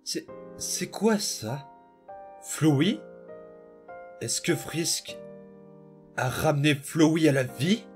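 A man's voice: fairly high pitch, animated, slow pace, pauses often, darkish tone, faint music behind. A man playing a bitter, wounded demon boy in a dramatic comic dub, brooding and menacing. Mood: hurt, bitter.

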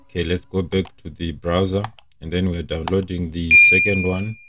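A man's voice: low voice, little pitch movement, normal speed, over loud music. A young man presenting a casual step-by-step tech tutorial in an informal, explanatory way.

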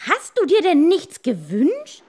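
Woman doing a high, nagging fisherman's wife. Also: huge pitch swings, takes it slowly, heavily acted. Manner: high, insistent, complaining.

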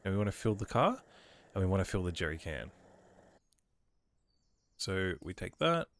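A man with a slightly low voice talks through his gameplay in an easygoing commentary style. He has a cold that colors his voice. He reads on-screen instructions aloud and thinks out loud as he goes. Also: big pitch swings, normal speed. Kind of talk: casual let's-play commentary.

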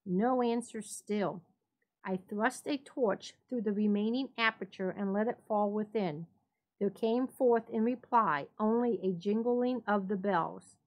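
A woman's voice: medium pitch, a little slow, a little mellow, poor audio. A woman narrating a written story as a dramatic reading, in the role of story reader. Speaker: library staff story reader.

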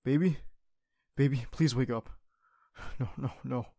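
A man's voice: mid-range voice, unhurried, dark tone, husky. A man playing a young secret agent who leads his team and is a devoted boyfriend, in an audio roleplay drama. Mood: distraught, pleading. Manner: clipped military radio commands.

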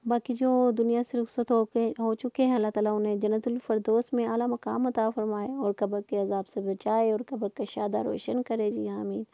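Mellow, even-toned woman chatting about home cooking. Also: fairly high pitch, moderate pace, gravelly, hardly any pauses, no room sound, lo-fi sound. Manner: conversational, rambling. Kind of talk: casual chatty cooking voice-over.

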